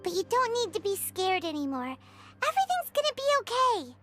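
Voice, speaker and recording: A woman voicing a tiny, eager, chirpy fairy companion in a video game, with a squeaky, childlike voice and an excitable manner. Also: brisk, exaggerated voice. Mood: reassuring. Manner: excitable, speaks of herself in third person. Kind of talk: English video game voice acting. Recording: music behind the voice.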